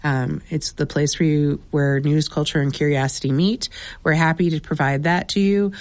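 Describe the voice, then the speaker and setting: A woman managing a radio station, making an earnest on-air pledge appeal with many fillers, her voice raspy as she loses it. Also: low voice, steady tone, normal speed, no echo. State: losing her voice.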